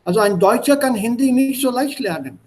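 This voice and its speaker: Male language tutor, Indian accent, high-pitched voice, explains didactically and demonstrates by repetition. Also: lively intonation, brisk. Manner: didactic, repeats and demonstrates sounds. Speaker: language teacher. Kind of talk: online language lesson.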